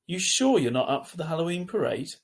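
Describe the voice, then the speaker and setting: A man voicing a caring, patient older sibling who speaks softly and coaxingly in a children's novel read aloud. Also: fairly high pitch, a little fast, vocal fry, pitched above the performer's own voice. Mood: gentle concern. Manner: soft, calm, coaxing.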